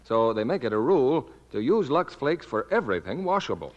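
A man's voice: medium pitch, sing-song, brisk, long reverb tail, highs cut off. A man working as a commercial announcer, delivering a polished, persuasive 1940s radio advertisement.

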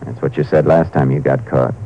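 An actor playing a calm, firm Texas Ranger in a 1950s radio crime drama, terse and dryly persistent. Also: male voice, very deep voice. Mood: confident, pressing. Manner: terse, unhurried questioning, dry. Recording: muffled, hissy 1950s radio recording.

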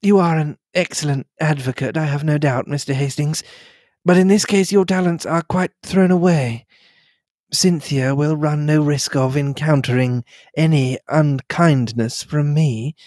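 A man reading the lines of a reserved young English wife, speaking quietly and in a measured way. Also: fairly high pitch, no echo, clean studio sound. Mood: thoughtful.

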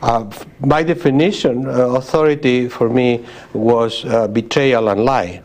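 Spanish-accented man, measured and thoughtful. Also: mid-range voice, darkish tone. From Spain. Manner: thoughtful, with frequent pauses and restarts. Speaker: guest, sociologist. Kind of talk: interview conversation.

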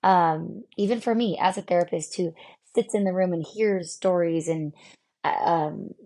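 A woman in her thirties speaks as a therapist on her own podcast, her voice low and gravelly with fry. She is losing her voice, and she talks in a halting, reflective way with plenty of pauses and fillers. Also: a little mellow. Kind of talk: solo podcast talk.

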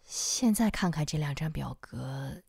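Woman acting a low, breathy, regretful parent. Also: animated, a little slow, darkish tone, no room sound, clean studio sound, full character voice. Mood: regretful, pensive. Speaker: voice actor. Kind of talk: modern audio drama acting.

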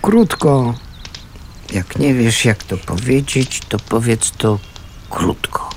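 An actor playing a gruff but kindly homeless man in a radio play, with a gravelly voice and a colloquial, fatherly way of talking. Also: medium pitch, animated, slow, a little hiss or hum. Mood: gruff, practical. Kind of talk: naturalistic Polish radio play acting.

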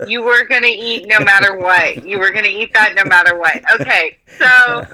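A woman's voice: mid-range voice, moderate pace, pushed and bright, low-quality recording. A woman comedian chatting as a podcast guest, hesitant at times, with filler words as she talks about her shows. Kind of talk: casual podcast chat.

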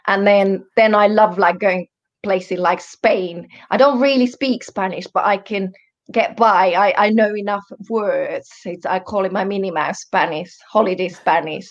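Dull-sounding recording, woman. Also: mid-range voice. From Europe, Finnish, born in Germany. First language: Finnish. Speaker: guest, health coach. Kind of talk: casual interview chat.

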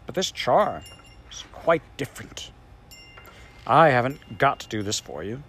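A man's voice: medium pitch, big pitch swings, measured pace, fairly bright tone, husky, heavily acted. A man voicing a grumpy, self-important magic sand creature in an audiobook, speaking in a cross, snappish way. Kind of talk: audiobook character voice.

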